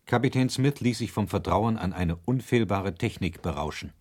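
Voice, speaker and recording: An actor playing a grave, reflective commentator in a documentary radio drama, formal, measured and critical. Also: male voice, lowish voice, a little mellow, lightly acted. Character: grave, reflective male commentator judging the evidence.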